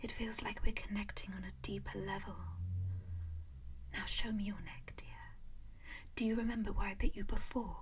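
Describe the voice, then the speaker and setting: A woman voicing a composed, doting female vampire noble in a whispered roleplay, gently coaxing and possessive. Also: mid-range voice, fairly even intonation, moderate pace, pauses often, a little hiss or hum, natural voice. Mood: soothing whisper. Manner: soft whisper throughout; gently possessive and coaxing, calls listener darling and dear.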